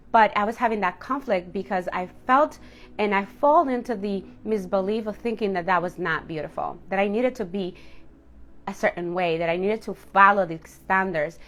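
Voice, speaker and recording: A woman in her late thirties speaks straight to her viewers as a coach. Her voice sits at an ordinary middle pitch for a woman. She talks candidly and reflectively, leaning on key words for emphasis in a personal motivational style. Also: a bit bright. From Dominican household. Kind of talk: personal motivational talk to camera.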